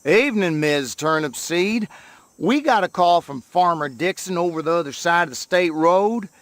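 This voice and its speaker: A man's voice: high voice, lively intonation, moderate pace, dry recording. A man playing a folksy small-town lawman in a video game. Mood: folksy, official.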